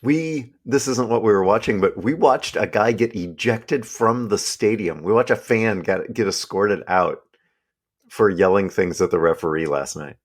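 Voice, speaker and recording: A man co-hosting a casual podcast, his voice hoarse and raspy from yelling, joking and laughing as he talks. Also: lowish voice, moderate pace. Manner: joking, laughs often, many restarts. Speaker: podcast co-host. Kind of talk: casual chat.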